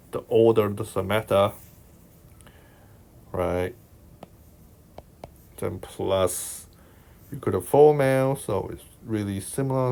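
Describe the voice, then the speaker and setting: A man from Hong Kong with a Hong Kong accent talks through a worked maths problem in a slow, deliberate way. His voice sits on the low side, and he thinks aloud, often asking "right?" to check the listener is following. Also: warm tone. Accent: Hong Kong Cantonese. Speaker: maths tutor. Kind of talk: explaining a worked exam solution over screen recording. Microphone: voice-over on screen recording.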